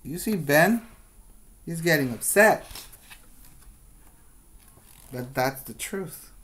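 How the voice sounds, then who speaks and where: Middle-aged male teacher, children's read-aloud, very slow and deliberate, with questioning asides. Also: on the high side, lively intonation, a bit bright. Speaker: preschool teacher. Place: small plain room indoors.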